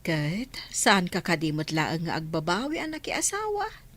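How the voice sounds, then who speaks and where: A woman acting a tender, worried middle-aged mother in a Filipino radio drama, expressive, with a Filipino accent. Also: fairly low pitch, a little slow, exaggerated voice. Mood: concerned. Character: tender, worried mother of a grown married daughter.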